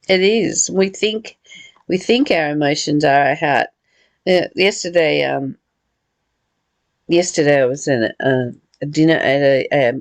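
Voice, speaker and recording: A woman hosting a spiritual study, reading aloud and reflecting casually in a halting, deliberate way. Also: low voice, gravelly, no room sound. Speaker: host of a spiritual book study.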